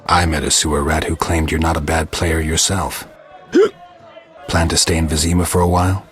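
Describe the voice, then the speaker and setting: A man voicing a gruff, stoic monster hunter with dry wit and laconic delivery in a fantasy video game. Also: rumbling low, moderate pace. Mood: dry, businesslike. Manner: deadpan, laconic. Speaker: player character of a fantasy game.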